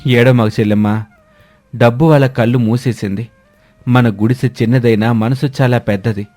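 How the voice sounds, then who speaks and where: Male narrator as kind poor village brother, comforting, normal pitch, music behind. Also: fairly even intonation, normal speed, warm tone, close to an everyday voice. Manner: tender, reassuring.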